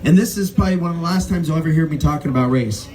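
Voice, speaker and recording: A man emceeing a rally, speaking with mounting passion and building to loud climaxes. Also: fairly high pitch, very fast, low-quality recording. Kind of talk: rally speech and introduction. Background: crowd.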